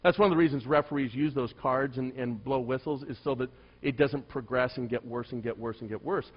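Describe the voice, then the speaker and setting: A middle-aged male pastor with a soft voice, telling a story in a sermon and swinging between loud emphasis and hushed asides. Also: mid-range voice, fairly quick, breathy edge, muffled recording.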